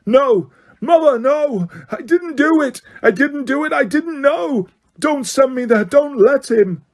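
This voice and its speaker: A man's voice: extremely high pitch, animated, a little slow, darkish tone, voice pushed up, full character voice. A man voicing a terrified teenage boy in chains who shrieks and pleads. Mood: pleading, screaming.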